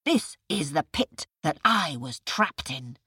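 A woman's voice: deep voice, very animated, takes it slowly, pushed and bright, breathy edge, dry recording, studio quality, exaggerated voice. An angry male tiger , voiced by a woman, growls his lines impatiently and snaps at the others. It is the bad-tempered beast of a children's storybook. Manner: growling and snarling.

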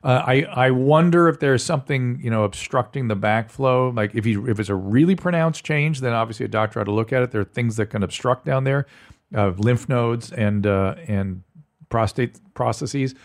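A man in middle pitch hosts a casual podcast, talking frankly and joking as he goes. He often breaks into laughs between his points. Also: normal speed. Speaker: podcast host. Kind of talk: casual chat.

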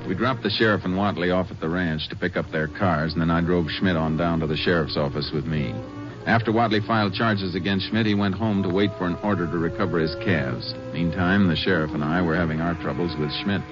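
An actor playing a calm, kindly Texas Ranger who speaks and narrates in a measured, plainspoken way for a radio drama. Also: male voice, low voice, music in the background, natural voice. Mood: matter-of-fact. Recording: muffled 1950s radio broadcast.

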